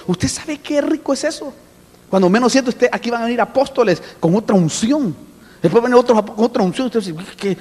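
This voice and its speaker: A man preaching a sermon with an animated manner, often calling out to his listeners as brother. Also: high voice, gravelly, slightly rough, big-room sound. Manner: animated, addresses listeners as brother, acts out voices. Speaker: apostle preaching.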